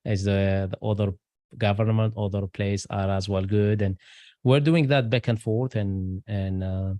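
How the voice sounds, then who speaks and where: A middle-aged man who grew up between Algeria and France talks as an interview guest. His voice is low, dark and mellow, recorded dry and close. He speaks English in halting bursts, full of fillers and restarts. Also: steady tone, no room sound. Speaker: guest, aerospace engineer. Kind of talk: casual interview talk.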